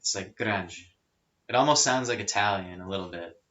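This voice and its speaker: A man chatting casually as a podcast guest, explaining things in a relaxed way with frequent fillers. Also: fairly low pitch, normal speed. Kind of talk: casual chat. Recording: rough lo-fi audio.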